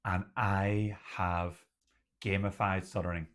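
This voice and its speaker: A young man, a doctor who stutters, talking to camera about his own stuttering in a measured, deliberate way with occasional stutters. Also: sits low, little pitch movement, fairly bright tone, smooth. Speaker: doctor and community host. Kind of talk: personal talk to camera.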